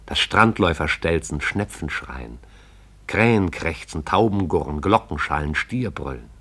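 A man's voice: a bass voice, expressive, a little slow, sharp tone, breathy edge. A man narrating a radio play in a poetic, rhythmic recitation.

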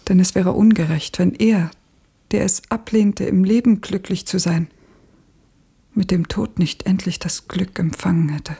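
A wounded, insistent woman revolutionary in a radio play. Her voice is dark, low and very breathy. She speaks in intense, halting phrases. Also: full character voice. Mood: feverish, yearning. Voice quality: husky, breathy.

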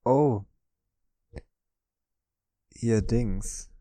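A man co-hosting a podcast, chatting casually through a guessing game and often pausing on drawn-out fillers. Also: medium pitch, warm tone, dry recording, studio quality. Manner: hesitant, many drawn-out fillers. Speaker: podcast co-host.

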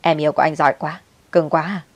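A woman's voice: sits low, steady tone, quick pace, no room sound. A woman voicing a carefree, sweet-talking young boyfriend in a story, with a coaxing manner. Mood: coaxing, gleeful.

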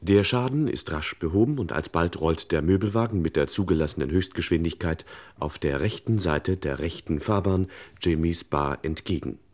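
An actor playing a wry, pompous, mock-solemn narrator of a comic crime radio play. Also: male voice, sits low, moderate pace, a little mellow, natural voice.

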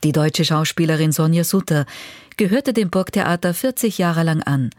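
A woman's voice: deep voice, normal speed, darkish tone, no room sound. A woman radio presenter reading scripted narration in a factual, composed manner in a studio.

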